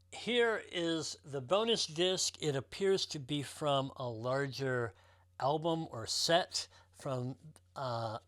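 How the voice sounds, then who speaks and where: A man's voice: medium pitch, fairly bright tone, no room sound. Enthusiastic man presenting, dry close sound. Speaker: record collector presenting a show. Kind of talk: casual presentation to viewers.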